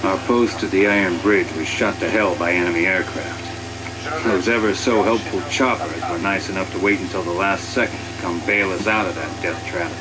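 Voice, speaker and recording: An actor playing a wry, grumbling tank commander sergeant who narrates a game cutscene in slangy, sardonic words. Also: male voice, sits low, natural voice, low-quality recording. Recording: engine-like noise behind the voice.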